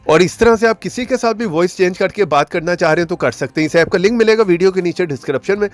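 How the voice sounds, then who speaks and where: A man's voice: high-pitched, fast talker, soft background music. A man hosting a how-to tutorial, explaining an app step by step with repeated stock filler phrases. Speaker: tutorial channel host. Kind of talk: scripted-sounding how-to app tutorial narration.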